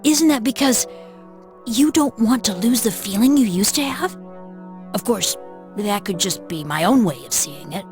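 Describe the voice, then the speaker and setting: A woman's voice: medium pitch, animated, measured pace. A woman voicing an earnest, polite young boy, mature for his age, in an anime video game. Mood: reflective. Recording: music behind the voice.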